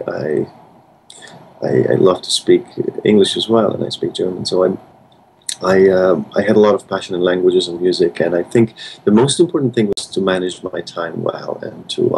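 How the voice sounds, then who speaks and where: Deep gravelly man with Hungarian accent. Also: a little mellow, slight background noise, poor audio. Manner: thoughtful, many restarts and fillers. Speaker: pianist guest. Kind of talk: interview answers.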